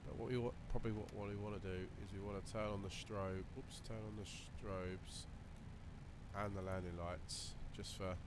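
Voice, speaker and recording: Croaky man over cockpit hum. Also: on the low side, steady tone, moderate pace. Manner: casual, thinks aloud, lots of fillers. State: slightly croaky, coughing. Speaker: flight sim video creator. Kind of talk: relaxed running commentary while flying a simulator. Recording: voice over loud simulator cockpit noise.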